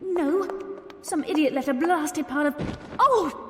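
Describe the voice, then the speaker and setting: An actress playing a nervous, exasperated young Englishwoman in a radio drama, with sharp, breathless reactions. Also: high voice, a little slow, slight background noise. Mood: alarmed. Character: nervous, exasperated young woman stuck in dark archives. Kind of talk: 1920s-set radio drama acting. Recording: long echoing reverb with music underneath.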